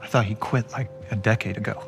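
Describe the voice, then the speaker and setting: A low-pitched young male fighter from a video game drama. He talks in a natural, teasing way with wry concern. Faint music sits behind him. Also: fairly even intonation, fairly quick, vocal fry. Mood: skeptical, concerned. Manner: conversational, teasing.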